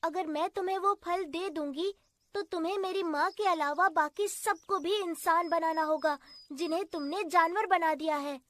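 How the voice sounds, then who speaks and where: A woman's voice: very high-pitched, normal speed, a bit bright, no room sound, voice pushed up. A woman voicing a brave, kind little girl with an earnest, sweet manner in a children's cartoon story. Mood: pleading, determined.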